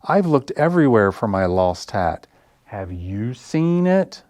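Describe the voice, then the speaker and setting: A man's voice: fairly low pitch, big pitch swings, unhurried, a little mellow, no room sound, clean studio sound. A man voicing a polite, hopeful girl in a children's storybook, calling out in a singsong way. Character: polite girl searching hopefully for her lost hat. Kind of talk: storybook character read aloud for children.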